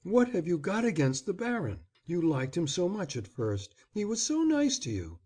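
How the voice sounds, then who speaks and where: A man's voice: high voice, wildly swooping pitch, normal speed, a little mellow, husky, voice pushed up, exaggerated voice. A man reading aloud, voicing an anxious, scolding upper-class mother. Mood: pleading. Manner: pleading then stern and commanding.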